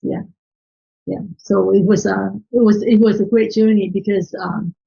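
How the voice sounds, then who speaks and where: Woman guest with a Chinese accent, husky and gravelly, easygoing explainer. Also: mid-range voice, steady tone, moderate pace, dark tone, lo-fi sound. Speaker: interview guest. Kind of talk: conversational interview answers.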